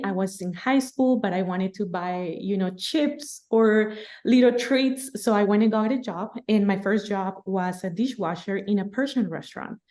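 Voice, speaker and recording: 30s woman from guatemala, telling her story on a podcast, laughs a lot. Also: mid-range voice. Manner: lively, laughing, animated storytelling. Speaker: podcast guest. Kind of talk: casual storytelling interview.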